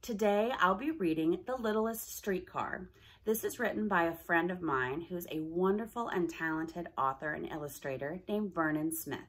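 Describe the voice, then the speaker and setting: A woman's voice: on the low side, moderate pace, breathy edge. A woman narrating a children's storybook with dramatic emphasis on key words. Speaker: storybook reader.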